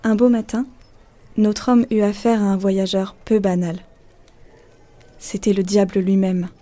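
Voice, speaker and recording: A woman's voice: mid-range voice, level tone, measured pace, dark tone. A woman narrating a folk tale as a scripted audio story. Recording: faint music behind. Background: faint music.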